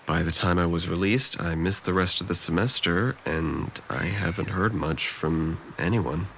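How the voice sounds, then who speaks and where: A man's voice: deep voice, normal speed, gravelly, a bit bright, slight background noise. A man acting a depressed, guarded young man in an audio drama, speaking with dry, self-deprecating hesitance. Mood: downcast, confessing.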